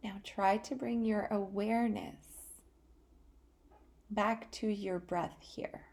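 A woman hosting a guided relaxation meditation, speaking calmly and soothingly in short phrases with long pauses. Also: mid-range voice. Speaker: guided meditation podcast host.

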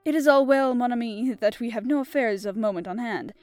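A woman reading aloud and voicing a precise, formal older male detective with a deliberate manner and a tried French accent. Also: on the high side, a little mellow, no echo, faint music behind, lightly acted.

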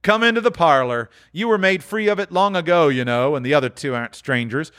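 A man's voice: on the high side, lively intonation, a little fast, a bit bright, dry recording, studio quality, pitched above the performer's own voice. A man reading aloud, voicing a sly, jovial old pawnbroker near seventy with a cackling, wheedling voice. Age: older.

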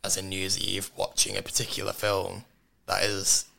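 Hoarse low-voiced man hosting radio. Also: monotone, moderate pace, pushed and bright, slight background noise. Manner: laughs often, teasing. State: hoarse, lost voice. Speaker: radio host. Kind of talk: casual radio chat. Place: radio studio. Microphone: studio microphone.

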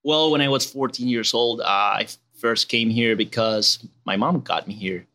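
A young man with a mixed Spanish and Venezuelan accent talks in an easy, chatty way. His voice is bright and forceful, sitting at a middle pitch, and he keeps calling people man. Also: moderate pace. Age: 20s. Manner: laid-back, relaxed and chatty, calls people man. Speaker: guest. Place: outdoors.

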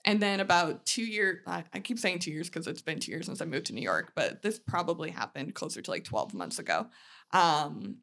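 A woman's voice: low-pitched, lively intonation, a little fast, vocal fry, fairly bright tone. A woman in her thirties chatting candidly and casually as a comedian guest on a podcast, often saying "like". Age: 30s.

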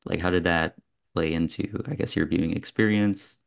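A man's voice: deep voice, steady tone, moderate pace, gravelly. A man hosting a podcast, talking through films in a casual, reflective and discursive way among friends. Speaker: podcast host.

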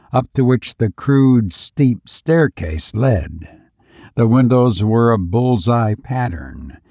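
Guy doing horror podcast narration, really slow and measured, dry close sound. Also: medium pitch, gravelly, mellow, no echo. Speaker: podcast host and story reader. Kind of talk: slow intimate horror narration and host talk.

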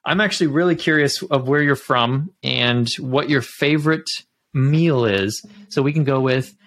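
A man's voice: medium pitch, moderate pace, a bit bright. Chatty laughing man hosting a podcast. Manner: chatty, laughs often, rambling. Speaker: podcast host. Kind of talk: casual podcast chat.

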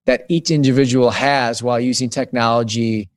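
A man in his late thirties speaks in a mid-range voice, thinking aloud and restarting phrases as he explains his ideas. The recording is close and dry, with little sense of the room. He sounds like a guest in a relaxed podcast conversation. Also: mid-range voice, moderate pace, no echo. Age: 30s. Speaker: guest author on digital wellness.

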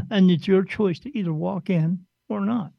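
A man's voice: high voice, unhurried, creaky, dry recording, dull audio. A man who works as a spirit medium, talking casually on a podcast in a blunt, rambling way and slipping into other people's voices.

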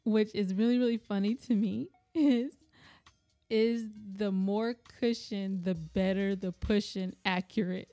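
A woman's voice: mid-range voice, steady tone, unhurried, dark tone, dry recording, faint music behind. Woman podcast co-host, dry close sound. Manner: teasing, bantering. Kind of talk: casual banter and sponsor plugs. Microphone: podcast microphone.

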